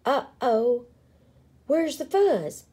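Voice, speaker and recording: Storybook role: squabbly little prairie dog from an excitable pack, played by a woman, high, animated, shouty. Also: very slow, husky, heavily acted. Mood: confused, accusing. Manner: shouting and cheering in short bursts. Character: excitable, squabbling crowd of small prairie dogs.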